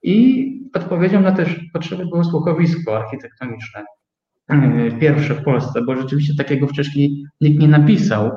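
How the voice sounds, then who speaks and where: Mężczyzna, pogłos pokoju, lekko przytłumione nagranie. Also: male voice, fairly high pitch, lively intonation, normal speed. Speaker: guest, start-up co-owner. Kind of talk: interview answer about own business. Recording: roomy, slightly dull sound.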